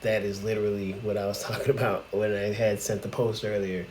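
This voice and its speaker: A forty-something man talks through a reaction video in a fairly low voice, laughing and exclaiming as he goes. A faint hiss sits under the recording. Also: little pitch movement, brisk, a little mellow. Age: 40s. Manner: chatty, laughs often, exclaims in disbelief. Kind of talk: casual reaction commentary.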